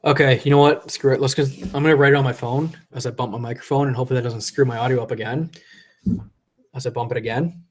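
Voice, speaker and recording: A man's voice: mid-range voice, steady tone, fast. A man hosting a casual live stream from home, rambling loosely and swearing as he reads chat, a little tipsy from drinking, into a desk condenser mic. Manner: rambling, loose and profane, reading chat aloud. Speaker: livestream host. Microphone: desk USB condenser mic.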